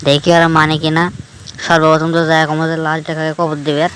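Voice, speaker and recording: A man's voice: fairly high pitch, monotone, fast talker, a bit bright, a little hiss or hum, close to an everyday voice. A man with a northern Bangladeshi accent playing an exasperated, scolding village friend in a cartoon skit. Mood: resigned, reasoning. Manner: scolding, lecturing. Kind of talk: colloquial dialogue in a cartoon skit.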